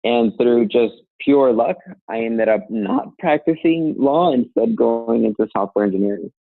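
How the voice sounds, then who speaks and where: A man in his thirties from Colombia, a guest talking casually about his work, relaxed and laughing lightly, often restarting phrases. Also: mid-range voice, unhurried, creaky, no room sound. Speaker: guest, software engineer. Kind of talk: casual conversational interview. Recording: tinny phone-like sound, dry.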